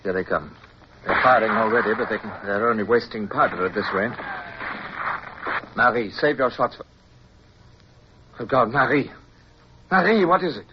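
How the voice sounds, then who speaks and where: A resolute British naval officer played by a male actor, low in pitch and used to command. He speaks and narrates in radio adventure style, on a dull recording with some noise. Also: moderate pace, pauses often, natural voice. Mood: urgent. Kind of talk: radio drama acting and first-person narration.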